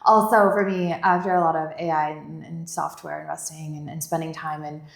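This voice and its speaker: Woman, 30s, from Bulgaria, gravelly, chatty and rambling, echoey room. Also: low-pitched, little pitch movement, a little fast. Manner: chatty, rambling, many "like" fillers. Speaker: venture investor guest. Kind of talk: casual interview chat.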